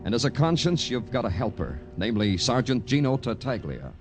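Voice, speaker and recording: An actor with an American accent playing a weary, sardonic police detective in a 1950s radio crime drama, wry, deadpan and clipped. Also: male voice, medium pitch, fairly quick. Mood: brooding, poetic. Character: weary, sardonic male city police detective narrating his case. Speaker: lead actor and narrator. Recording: vintage radio recording with music.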